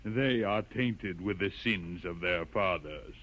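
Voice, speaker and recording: Pompous old holy man, slow and declamatory. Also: low-pitched, a bit bright. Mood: grave teaching. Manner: solemn, declamatory, liturgical. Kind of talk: vintage science-fiction radio drama acting. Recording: muffled old radio recording, music behind.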